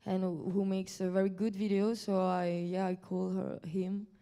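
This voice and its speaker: A woman from Belgium whose first language is French, speaking English with a French accent. She is a guest singer answering audience questions at a live Q&A, and her English is halting and searching, with many fillers. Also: mid-range voice, level tone, dark tone, clear, resonant tone.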